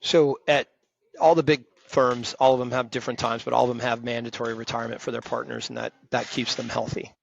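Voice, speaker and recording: A man's voice: mid-range voice, little pitch movement, fairly quick, creaky, muffled recording, a little hiss or hum. A man in his fifties, a retired firm partner, talking directly and emphatically as a guest in an interview. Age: 50s. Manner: emphatic, direct, self-described loud voice. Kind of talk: conversational interview.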